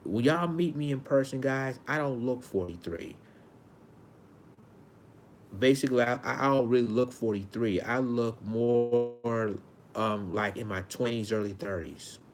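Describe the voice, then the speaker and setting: A man in his forties from Arkansas with a high-pitched voice, talking earnestly to his viewers in a casual monologue, often repeating and restarting his phrases. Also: measured pace. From Arkansas, United States. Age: 40s. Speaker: vlogger.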